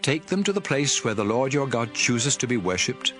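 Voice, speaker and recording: Measured male scripture narrator with lively pitch. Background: music.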